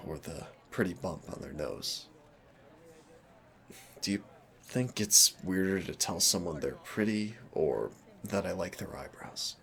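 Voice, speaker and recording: A man playing a shy, gentle, nervous young man in an intimate audio roleplay, hesitant, rambling and self-deprecating. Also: low-pitched, vocal fry, full character voice.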